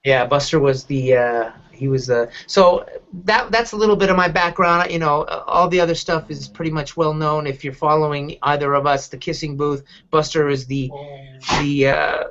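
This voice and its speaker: A middle-aged man hosting a podcast, telling personal stories casually in a halting, candid, rambling way. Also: on the high side, a bit bright. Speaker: podcast host, dog advocate. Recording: dull lo-fi podcast audio.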